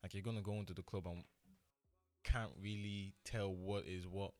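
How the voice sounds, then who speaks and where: A man's voice: low voice, fairly even intonation, moderate pace. A young man co-hosting a casual podcast who asks questions and keeps the conversation moving. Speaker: podcast co-host.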